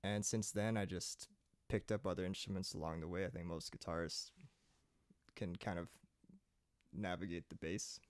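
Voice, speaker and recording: A young man from Saipan talks in a very low voice, easy and unhurried, full of "um" and "like". The sound is close and dry, with no room to it. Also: dry recording. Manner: relaxed, rambling storytelling with many fillers. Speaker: guest singer-songwriter. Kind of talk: casual interview chat.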